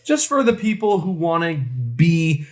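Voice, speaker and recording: A man's voice: fairly high pitch, lively intonation, a little slow. A man co-hosting a podcast in casual chat, informal and jokey with exclamatory phrasing. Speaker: podcast co-host. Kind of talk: casual chat about beer.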